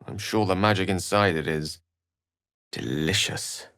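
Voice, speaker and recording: A man's voice: deep voice, a little slow, fairly bright tone, smooth, dry recording, clean studio sound. An actor playing an erudite, witty and self-assured wizard in a video game, eloquent and theatrical in his wordy delivery. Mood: curious, wry. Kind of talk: video game character acting.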